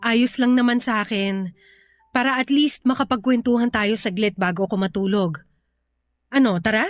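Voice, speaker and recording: A woman's voice: a little high, normal speed, no room sound, close to an everyday voice. A woman playing a hurt, weary young working girlfriend in an emotive Filipino radio drama. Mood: tired, relenting.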